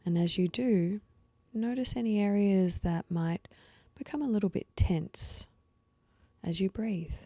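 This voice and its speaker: A woman leading a guided meditation with slow, soothing instructions and pauses, her voice soft and at times breathy. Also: medium pitch, dry recording.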